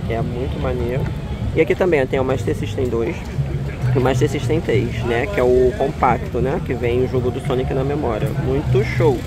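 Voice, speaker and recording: Chatty man at a noisy market. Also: fairly high pitch. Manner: excited, chatty, often says "né" and "ó". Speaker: vlogger. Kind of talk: casual collector vlog. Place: busy outdoor flea market. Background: loud crowd.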